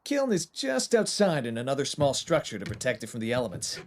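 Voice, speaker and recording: A man's voice: medium pitch, wildly swooping pitch, brisk, noisy background. A man playing an easygoing, friendly ex-military team member in an audio drama, with an informal way of talking. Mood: friendly, relaxed. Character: easygoing male ex-military brawn who makes pottery.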